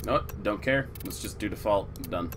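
A man streaming a video game who chats casually with viewers in a relaxed, rambling way, his voice getting weak. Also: lowish voice, moderate pace, noisy background. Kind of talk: casual live-stream chat, reading viewer chat.

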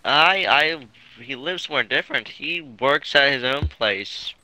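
A man's voice: mid-range voice, lively intonation, a little slow, bright, forceful tone, no room sound, low-quality recording. A man chatting casually in character as he plays a roleplay video game. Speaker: gamer in a roleplay series. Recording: faint game music behind the voice. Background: game music.

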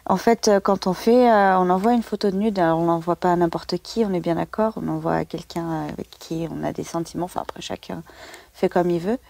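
A woman's voice: lowish voice, a little fast, creaky, darkish tone. A woman in her thirties giving an informal, explanatory personal testimonial with hesitant phrasing. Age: 30s.

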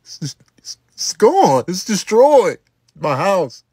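A man performing a comedic skit monologue in a lamenting, drawn-out manner. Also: high voice, very animated, a little mellow, husky, no room sound, studio quality.

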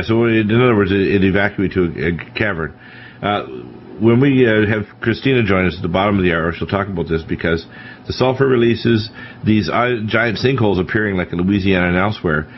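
A man hosting a talk radio panel, lecturing emphatically and warning of disasters. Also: deep voice. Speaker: radio talk show host. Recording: dull lo-fi radio broadcast with faint hiss.

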